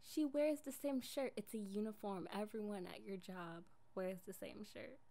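A woman's voice: medium pitch, moderate pace, gravelly, warm tone, natural voice. A young woman playing an affectionate, jealous girlfriend in an improvised ASMR roleplay, casual and coaxing in an intimate way. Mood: jealous, defensive.

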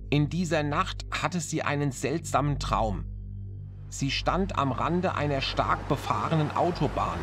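A man narrating a scripted horror story in a measured, dramatic manner. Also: mid-range voice.

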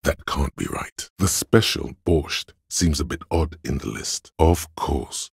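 A cool, analytical inner voice of logic from a video game. It is profoundly low and heavily husky, with crackling fry at the edges. The delivery is measured and matter-of-fact, reasoning things through deliberately. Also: male voice, no echo, studio quality, full character voice. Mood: dry, reasoning. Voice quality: gravelly, husky bass. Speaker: voice actor. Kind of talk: scripted game narration as an inner voice.

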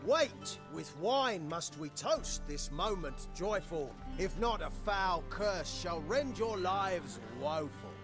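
A man's voice: sits high, animated, slow pace, hardly any pauses, over music, full character voice. A man playing a scheming villainous page in a stage play, declaiming theatrically in rhymed verse. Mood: grandly announcing.

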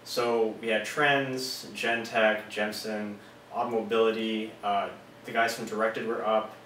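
A man's voice: lowish voice, a little slow. Man in his thirties, casual vlog talk, echoey room, mic set back, faint hiss. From Canada. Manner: relaxed, explains with hand gestures. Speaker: car audio installer and vlogger. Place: small office with monitors, indoors. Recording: roomy sound from a distant mic.

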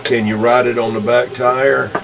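A man's voice: mid-range voice, level tone, normal speed, creaky. A man chatting casually as a CB radio operator, folksy and slangy in his talk. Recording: CB radio session.

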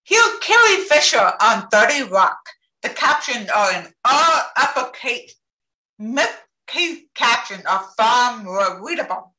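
A woman with a deaf accent giving a talk on captioning over a video call, speaking carefully and deliberately. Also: fairly high pitch, sing-song, pushed and bright. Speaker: presenter. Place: home office with bookshelves. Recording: echoey room on a video call.

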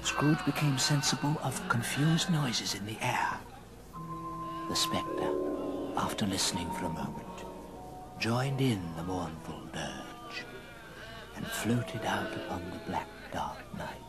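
A man narrates an old radio drama in a fairly low voice. His delivery is measured and theatrical, scripted storytelling throughout. Loud music plays behind him. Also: big pitch swings.

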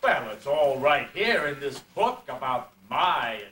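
A man's voice: medium pitch, very animated, slow, pushed and bright, full character voice. A man voicing a pompous, courtly royal elephant puppet in a grandiose, declamatory stage manner, on an amateur camcorder recording. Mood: fussy, courtly. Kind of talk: theatrical puppet show acting. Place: indoor convention stage, distant camera. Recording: roomy, distant amateur camcorder, faint noise.

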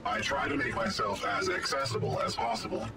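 A man's voice: on the low side, a little fast, distant mic, heavy background noise, close to an everyday voice. A man playing a polite artificial intelligence robot in a video game, speaking in formal, precise, stilted phrases. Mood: courteous, measured.

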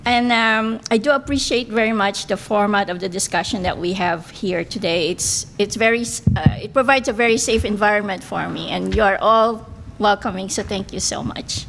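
Woman, middle-aged, Filipino accent, earnest and halting, podium microphone, banquet room. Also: medium pitch, vocal fry, fairly bright tone, slight background noise, low-quality recording. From Philippines. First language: Filipino language. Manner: earnest, careful, with hesitant fillers. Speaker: political candidate. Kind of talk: candidate forum speech.

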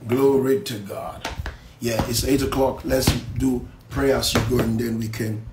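A man in his forties, a pastor teaching from a Bible passage with slow, emphatic preaching that swings from soft to loud. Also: mid-range voice, fairly even intonation, echoey room, over music. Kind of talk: morning Bible teaching, reading and explaining verses. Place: small office room with blinds, indoors. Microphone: phone camera close up.

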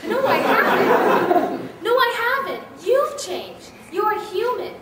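Very echoey noisy hall, woman acting excitable insistent young female, very high voice, radio play. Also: takes it slowly, far from the mic, a loud crowd, heavily acted. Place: live convention stage reading.

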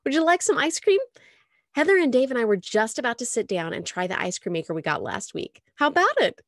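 A woman voicing a cheerful, busy middle-aged mother in an audiobook, speaking in a brisk, chirpy manner. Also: medium pitch, expressive, darkish tone, no room sound, clean studio sound.

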